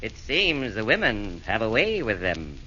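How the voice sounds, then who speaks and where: A sly, pompous chairman of fiends running a meeting in a 1940s radio comedy. He speaks in sing-song rhymes with a very bright, forceful tone. The old broadcast sound is muffled. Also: lowish voice, wildly swooping pitch, a little slow, slight background noise, exaggerated voice.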